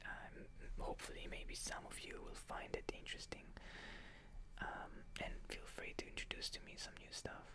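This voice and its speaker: A Hebrew speaker from Israel, whispering a meandering ASMR question-and-answer chat, full of soft fillers. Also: moderate pace. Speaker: ASMR creator.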